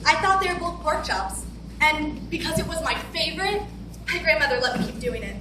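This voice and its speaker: Young woman, high voice, dramatic stage recitation, roomy distant recording. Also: lively intonation, brisk, noisy background. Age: teenager or young adult. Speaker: readers theater performer. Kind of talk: dramatic recited spoken-word monologue. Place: large wooden hall with stage. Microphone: far camera, no visible mic.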